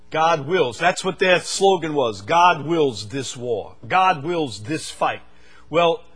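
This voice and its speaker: An older man from the United States preaching a sermon, swinging from loud, fervent stretches to quiet asides. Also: a little high, breathy edge.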